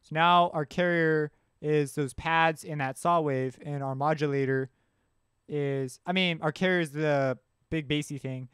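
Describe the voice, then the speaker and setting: A man presenting a software tutorial in an informal, offhand way, thinking aloud as he works. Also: on the high side, fairly even intonation, unhurried, smooth, no room sound, studio quality. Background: synth music and instrument sounds.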